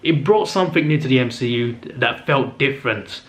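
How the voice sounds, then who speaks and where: A young man hosting his own channel, chatting enthusiastically through a casual ranking, full of fillers and quick self-corrections, his voice a little strained as he says he is losing it. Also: mid-range voice, a bit bright. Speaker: movie review channel host. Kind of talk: casual ranking monologue to camera.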